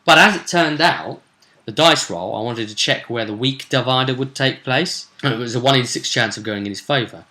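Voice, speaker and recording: A man's voice: medium pitch, normal speed, bright, forceful tone. A man talking casually as a game master, recapping a tabletop session in a chatty, rambling, explanatory way. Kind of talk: casual vlog recap of a role-playing session.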